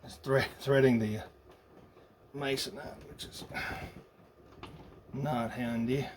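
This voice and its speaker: A man's voice: medium pitch, fairly even intonation, slow. A man casually narrating a hands-on build, muttering and thinking aloud as he works. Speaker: hobbyist radio builder. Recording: noisy workshop recording with clicks.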